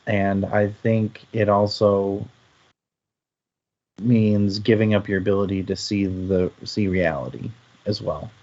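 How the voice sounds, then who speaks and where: Man, low-pitched voice, casual discussion style, thoughtful and analytical, slightly dull lo-fi recording. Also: steady tone, takes it slowly, a little mellow. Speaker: book discussion panelist.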